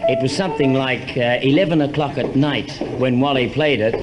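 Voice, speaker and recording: A man's voice: mid-range voice, steady tone, a little fast, soft background music. An Australian man co-hosting an old-time radio show, making chatty, somewhat hesitant introductions. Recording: slightly noisy old radio recording.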